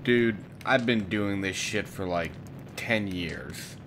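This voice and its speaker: Man as a slow-talking sarcastic young hero. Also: on the low side, background noise. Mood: unimpressed. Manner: dry, casual, sardonic. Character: sarcastic, jaded young male adventurer hero. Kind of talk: cartoon parody voice acting.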